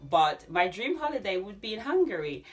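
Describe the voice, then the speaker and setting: A woman in her thirties with a Jamaican accent, chatting casually to camera in an animated way as she answers questions. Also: mid-range voice, a little fast, faint music behind. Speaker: YouTube vlogger. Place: small furnished room at home.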